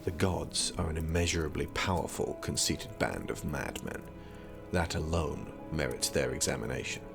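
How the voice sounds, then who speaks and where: A bookish young prince in an audio drama. His voice is very low with a gravelly creak, and he talks drily and formally, teasing like a pedant. Music sits underneath. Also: normal speed. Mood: didactic. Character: erudite, teasing young prince studying magic. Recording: music behind the voice.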